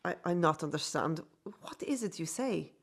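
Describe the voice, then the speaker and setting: An actress in a radio drama playing a gentle, devoted circus performer. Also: female voice, on the low side, animated, moderate pace. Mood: tender.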